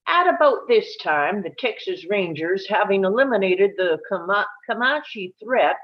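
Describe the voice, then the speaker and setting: A woman's voice: medium pitch, expressive, unhurried. A woman reading scripted narration aloud at a live table read, telling it like a story and now and then stumbling over a word. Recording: dull, lo-fi sound.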